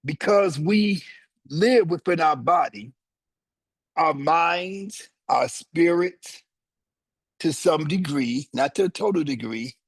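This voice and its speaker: A man in his seventies leads a yoga class, speaking in a husky, slightly high voice. He unhurriedly talks students through their body, pausing often between short phrases. The sound is dry and close. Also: animated, no echo. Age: 70s. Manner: slow, deliberate, explanatory, with many short pauses. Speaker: yoga teacher. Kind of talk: guided yoga instruction with teaching asides.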